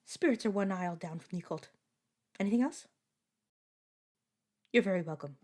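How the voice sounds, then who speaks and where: A woman playing a soft-spoken, helpful clerk in an audio roleplay. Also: on the low side, normal speed, close to an everyday voice. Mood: polite, focused. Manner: polite, hushed, helpful. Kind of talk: narrative audio roleplay acting.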